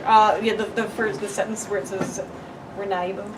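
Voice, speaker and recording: A woman's voice: mid-range voice, flat delivery, moderate pace, a little hiss or hum. A woman hosting a casual live convention panel as its fan fiction author. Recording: echoey crowded convention room, far from mic.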